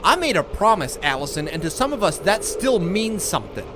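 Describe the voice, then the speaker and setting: A stubborn, folksy expedition captain, pitched high and swinging widely up and down. He is brash and bluff, in an audio drama scene with loud noise all around him. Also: big pitch swings, brisk. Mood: hurt, indignant. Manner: folksy, dropped endings, brash.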